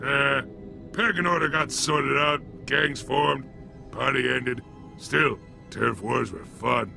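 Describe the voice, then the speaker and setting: An actor playing a brutish, bloodthirsty alien gangster in a video game, with a rough voice and crude, sneering street-thug talk. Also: male voice, mid-range voice, slow, sharp tone, soft background music, exaggerated voice. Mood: boastful, nostalgic. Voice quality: rough, gravelly. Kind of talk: video game character acting.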